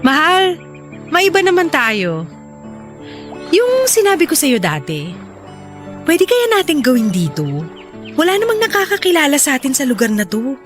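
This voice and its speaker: A woman's voice: high-pitched, huge pitch swings, normal speed, pauses often, faint music behind. An actress with a Filipino accent playing an insistent wife who pleads with and coaxes her reluctant husband in a radio drama. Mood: pleading, coaxing.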